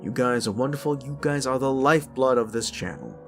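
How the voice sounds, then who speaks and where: A man's voice: mid-range voice, a little fast, creaky, faint music behind. A man talking casually to his audience as the creator of an audio roleplay channel. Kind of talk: casual outro addressed to audience.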